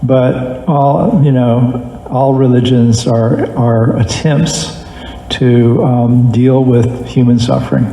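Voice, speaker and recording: A man teaching Buddhism, answering questions in a relaxed, plain-spoken way with light humor. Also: mid-range voice, slow pace. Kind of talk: relaxed Q&A dharma talk. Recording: echoey hall with faint background noise.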